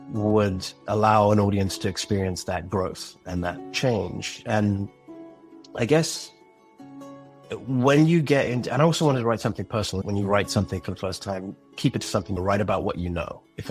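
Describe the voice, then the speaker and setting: A man's voice: on the low side, moderate pace, soft background music. A man talking as a podcast guest about creating an audio drama, thoughtful and earnest. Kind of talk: reflective interview talk.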